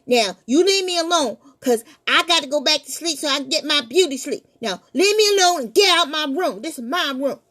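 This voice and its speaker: A woman's voice: lively intonation, brisk, hardly any pauses, bright, forceful tone. A woman voicing a frightened young boy who stammers and insists he is not scared. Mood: defiant. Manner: stammering, high and defiant.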